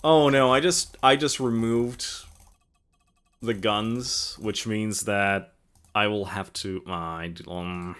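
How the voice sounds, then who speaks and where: Bright-voiced man. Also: fairly low pitch, fairly even intonation, slow, clear, resonant tone. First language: Latvian. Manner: trails off mid-sentence, self-mocking. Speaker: game streamer. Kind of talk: casual gaming stream chat.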